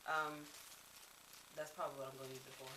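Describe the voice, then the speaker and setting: A woman with a bright, crisp-edged voice talks through her makeup brushes casually, rambling with ums and restarts. She sounds a little congested from a cold. She is recorded by a camera mic some way off, in a room with a lot of echo and a faint hum of background noise. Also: extremely low pitch, level tone, fast, far from the mic. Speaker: beauty vlogger. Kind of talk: casual product review.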